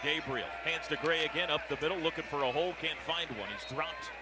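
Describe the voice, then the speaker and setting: High-pitched American football play-by-play man, noisy stadium. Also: quick pace. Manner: clipped phrases, excitable on big plays. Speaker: play-by-play radio announcer. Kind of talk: live American football radio commentary. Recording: very noisy stadium radio broadcast. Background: loud stadium ambience.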